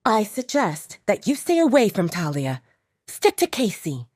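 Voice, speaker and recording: A woman voicing a stern, protective female werewolf second-in-command in an audio drama, firm and sharp-tongued. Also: medium pitch, sing-song, unhurried, dry recording, clean studio sound, voice pushed up. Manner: firm, sarcastic.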